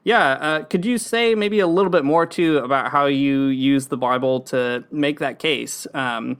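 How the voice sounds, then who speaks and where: A man's voice: medium pitch, normal speed, fairly bright tone, smooth. A man co-hosting a podcast, speaking casually with hesitant, tentative phrasing. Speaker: podcast co-host. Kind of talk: casual conversational interviewing.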